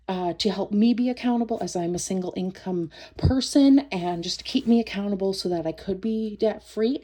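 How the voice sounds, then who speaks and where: A woman's voice: medium pitch, dark tone. A woman in her thirties, stuffed up from a cold, chatting casually as she counts cash for a budgeting vlog, often stopping to correct herself. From Canada. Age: 30s. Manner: friendly, self-correcting, chatty. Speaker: budgeting vlogger. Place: home, at night.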